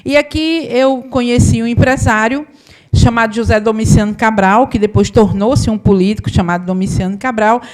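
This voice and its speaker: A woman's voice: fairly high pitch, darkish tone. Woman telling her story. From Paraíba, Brazil. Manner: conversational, often asks the audience "né?". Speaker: accountant and business owner giving a talk. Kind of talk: live storytelling talk to an audience.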